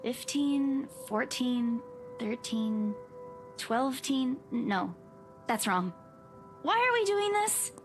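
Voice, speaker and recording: Horror game heroine: high-pitched young woman, anxious and muddled, light music underneath. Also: lively intonation, extremely slow, long pauses, heavily acted. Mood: dazed, uncertain. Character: young saleswoman, dazed, anxious and confused. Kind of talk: visual novel horror game voice acting.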